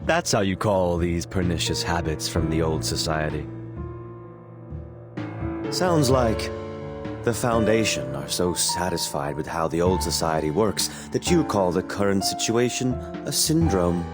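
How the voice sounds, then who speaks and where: An actor playing a sardonic, disdainful villain in a video game, calmly taunting. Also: male voice, deep voice, measured pace. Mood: mocking. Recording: loud background music.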